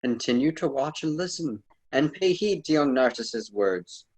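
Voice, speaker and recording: A man's voice: a little high, animated, moderate pace, darkish tone, close to an everyday voice. A man playing a courteous, lofty ancient poet and guide in a radio drama, speaking formally and theatrically. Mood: guiding.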